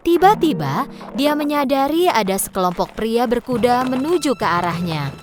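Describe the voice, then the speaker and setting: A woman's voice: on the high side, big pitch swings, moderate pace, music in the background. A woman narrating a children's storybook tale from a script.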